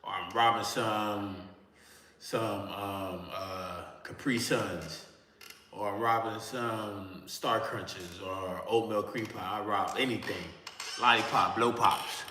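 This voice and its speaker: A man's voice: on the low side, fairly even intonation, takes it slowly, sharp tone, a little hiss or hum. Miami man streaming in a roomy echo. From Miami, Florida. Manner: loose, slangy and profane, talking straight to chat. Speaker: livestreamer. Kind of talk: casual livestream chat to viewers. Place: indoor room, seated in a creaky chair. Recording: roomy echoey room with long reverb.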